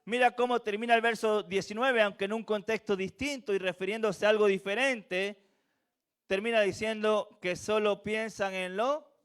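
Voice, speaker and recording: A man's voice: high voice, fairly even intonation, normal speed, fairly bright tone, clean tone. A man from Venezuela with a Venezuelan accent touched by Chilean, preaching a sermon in a church with earnest repetition and rhetorical questions. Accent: Venezuelan with a slight Chilean tinge.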